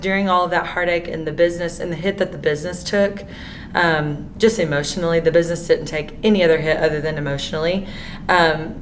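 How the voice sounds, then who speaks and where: A hoarse woman host who is losing her voice, talking candidly in a rambling way with many fillers. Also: lowish voice, a little hiss or hum. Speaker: podcast host. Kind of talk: casual monologue.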